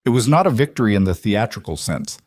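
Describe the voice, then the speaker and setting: A man reading a dramatic western story as scripted narration, measured and deliberate in his delivery. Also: lowish voice, sing-song, a little mellow, no room sound, studio quality. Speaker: audiobook-style story narrator.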